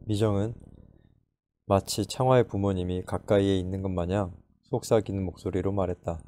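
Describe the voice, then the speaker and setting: A man calmly narrating a story in a measured way. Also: low voice. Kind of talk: audiobook narration.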